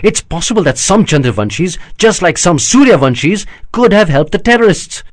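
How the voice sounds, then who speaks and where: A male audiobook narrator voices a heated young prince defending his people. The voice is dry and close with animated pitch. He argues with rising indignation. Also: moderate pace, no echo. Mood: indignant. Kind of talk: audiobook character voice.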